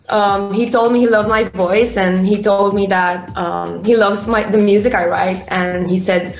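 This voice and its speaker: A young woman from Romania with a Romanian accent, chatting as a singer-songwriter guest, with many fillers and restarts. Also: medium pitch. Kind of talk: casual interview answers. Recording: echoey room, faint music.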